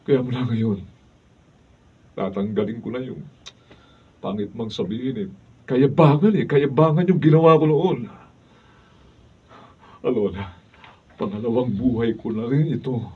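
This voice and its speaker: A man's voice: medium pitch, measured pace, long pauses, slightly rough. A man playing an emotional, exclamatory hot-headed motorist in a Filipino radio drama. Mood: grateful, repentant. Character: hot-headed boastful male motorist, later grateful and repentant. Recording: distant dull behind-the-scenes video, faint noise.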